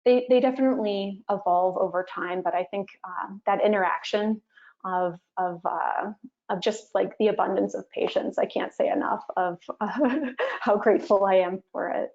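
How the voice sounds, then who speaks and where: A young woman speaking earnestly and hesitantly as a guest, often pausing on fillers. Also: mid-range voice, fairly even intonation, gravelly, a little mellow. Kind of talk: casual conversation. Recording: slightly muffled audio.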